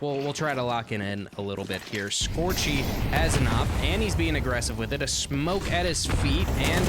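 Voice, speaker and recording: A man's voice: a little high, very noisy. A man with a Midwestern accent casting an esports match, calling the play and joking with chat. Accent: Midwestern American. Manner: loose, joking, talks back to chat. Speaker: esports caster. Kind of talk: play-by-play commentary mixed with chat replies.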